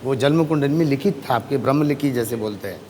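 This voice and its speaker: A man's voice: medium pitch, quick pace, darkish tone. A man working as an astrologer on a game show, reading fortunes in formal, Sanskrit-heavy speech. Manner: formal, Sanskrit-laden pronouncements. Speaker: astrologer guest. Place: TV studio stage. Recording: reverberant studio with audience noise.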